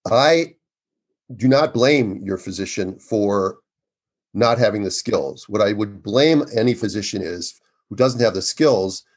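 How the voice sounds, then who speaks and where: A man in his sixties, an obstetrician speaking as a guest in an interview, with a loud, emphatic and earnest way of talking. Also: on the low side, breathy edge. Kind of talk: conversational interview.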